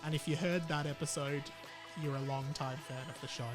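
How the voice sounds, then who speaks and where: Higher-voiced young Australian man hosting a podcast. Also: fairly even intonation, brisk, over music. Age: young adult. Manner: informal and chatty, reads out listener messages. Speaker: podcast host. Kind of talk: casual solo podcast chat.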